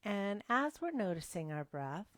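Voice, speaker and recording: Slow, gentle woman yoga teacher. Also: mid-range voice, big pitch swings, no room sound, clean studio sound. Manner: slow, soft-spoken and gentle, drifting into whispers. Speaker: yoga instructor. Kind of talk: guided yoga and breathing class.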